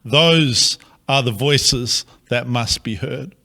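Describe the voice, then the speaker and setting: A man with an Australian accent speaks as a pastor addressing his city. His delivery is slow, earnest and measured, with a bright, forceful tone at a middle pitch.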